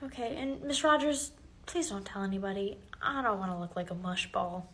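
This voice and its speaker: A woman puts on a cheeky schoolboy for a comedy skit. The voice is high and bright, with a flippant, casual manner and plenty of movement in pitch. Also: lively intonation, a little fast. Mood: reluctant, quiet. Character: cheeky, defiant schoolboy with a soft side.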